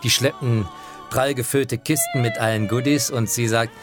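A man's voice: medium pitch, fairly even intonation, moderate pace, music in the background. A man narrating anecdotes about the opera world as spoken storytelling, animatedly slipping into quoted dialogue.

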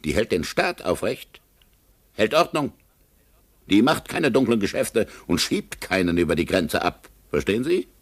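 An actor in a German radio play playing a haughty, evasive American consul with a condescending, officious manner. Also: male voice, on the low side, very animated, measured pace, a little hiss or hum. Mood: patronizing.

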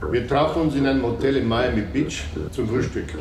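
A man's voice: mid-range voice, fairly quick. A man from Munich answering questions under formal interrogation in short, matter-of-fact replies. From Munich, Germany. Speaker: interviewee, informant. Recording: distant, echoey, noisy recording.

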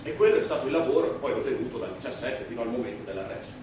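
A man acting an earnest Italian immigrant anarchist in his thirties, testifying at his trial in a halting, emphatic theatrical monologue. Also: medium pitch, lively intonation, natural voice. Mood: troubled. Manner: halting, emphatic, with deliberate pauses and repetitions. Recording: very echoey, distant stage recording, noisy.

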